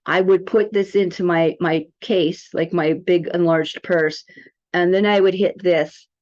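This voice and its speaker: A woman's voice: fairly low pitch, fairly even intonation, measured pace, darkish tone. A woman giving a casual product tutorial as a presenter, chatting informally and rambling a little. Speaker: presenter demonstrating a wellness app. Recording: dull, lo-fi audio.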